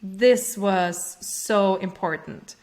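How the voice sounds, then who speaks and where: A woman's voice: mid-range voice, very slow, darkish tone. Austrian-born woman, earnest startup founder. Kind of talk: interview answers.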